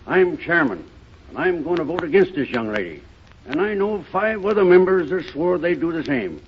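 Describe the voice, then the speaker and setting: A man's voice: a little high, expressive, normal speed, lightly acted. An actor playing a curt, stubborn school board chairman in a 1930s radio drama. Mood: hostile. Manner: curt, hostile. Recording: muffled, faintly noisy 1930s radio recording.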